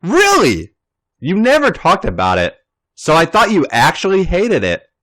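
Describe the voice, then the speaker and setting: A man's voice: on the high side, wildly swooping pitch, a little slow, fairly bright tone, voice pushed up, exaggerated voice. A man doing a friendly young woman's voice. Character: friendly young woman hosting a girls' night.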